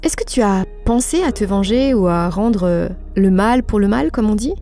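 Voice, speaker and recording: A woman hosting a podcast, putting prepared interview questions in a polite, measured, formal way. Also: mid-range voice, lively intonation, warm tone.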